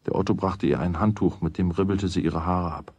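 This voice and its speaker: An actor narrating a children's radio play as a wry storyteller with a husky voice. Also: male voice, low-pitched, fairly even intonation, brisk, darkish tone, no echo. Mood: wry, matter-of-fact.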